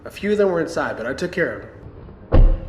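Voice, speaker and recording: A man's voice: a little high, big pitch swings, fairly quick, a little hiss or hum. An actor playing a tense survivor of a zombie outbreak, narrating his escape in the first person for a radio play. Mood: urgent. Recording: loud music under the voice.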